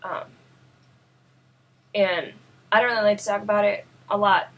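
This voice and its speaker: A young woman with a New York accent, born in Russia, talking earnestly and haltingly to camera in a personal vlog, often searching for words. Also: mid-range voice, poor audio. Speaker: vlogger.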